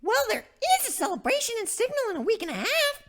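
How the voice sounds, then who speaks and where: A man voicing an excitable female fantasy crew member in a squeaky falsetto. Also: quick pace, heavily acted. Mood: chirpy.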